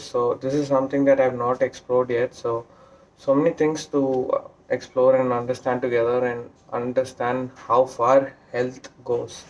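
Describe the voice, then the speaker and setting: A man's voice: medium pitch, darkish tone, lo-fi sound. A man with a Tamil accent vlogging casually to camera, speaking hesitantly with many fillers and restarts. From South India. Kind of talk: casual vlog talk to camera. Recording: roomy echo.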